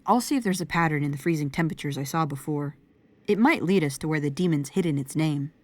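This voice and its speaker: Woman as a quick-talking paranormal tech investigator. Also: deep voice, lightly acted. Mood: determined. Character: brisk, technical young female paranormal investigator. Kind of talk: audio roleplay drama.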